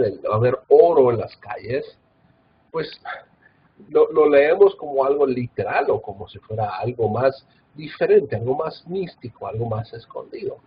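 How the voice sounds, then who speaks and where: An earnest man with a Guadalajara Mexican Spanish accent lectures a Bible class at a medium pitch, filling his pauses with "ah" and checking in with "¿no?". The recording is a little dull and lo-fi, with a faint hiss underneath. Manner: earnest, many filler "ah" and tag questions. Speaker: Bible institute professor.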